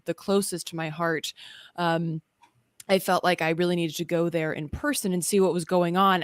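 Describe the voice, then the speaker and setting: Low-voiced woman journalist, dry close mic. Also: dry recording. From North Carolina and Sweden. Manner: thoughtful, many ums and restarts, wry asides. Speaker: journalist and filmmaker guest. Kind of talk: casual video-call interview. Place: home room indoors. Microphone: studio mic on a video call.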